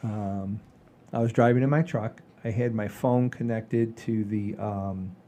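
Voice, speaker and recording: A man's voice: lowish voice, unhurried, warm tone, a little hiss or hum. A man hosting a comedy podcast, chatting casually and telling anecdotes in a rambling, repetitive, folksy way. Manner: rambling, repeats himself, folksy "I says".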